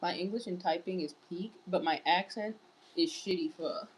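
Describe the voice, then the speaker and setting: A woman's voice: on the low side, normal speed, a little hiss or hum, rough recording. A woman streaming live in casual chat, reading viewer messages aloud and answering them. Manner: wearing large headphones. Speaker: livestreamer.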